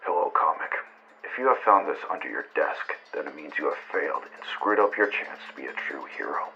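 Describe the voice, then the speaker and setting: A menacing avenger, acted by a man delivers a righteous threat in an amateur audio drama. He sounds deep and forceful, solemn and accusing, with music underneath. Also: lively intonation, a little fast, muffled recording, exaggerated voice.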